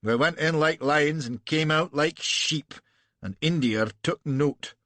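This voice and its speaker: A man voicing an arrogant male Scottish frontier agent with a high burr, reading a story aloud. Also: takes it slowly, a bit bright, no echo. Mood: grim.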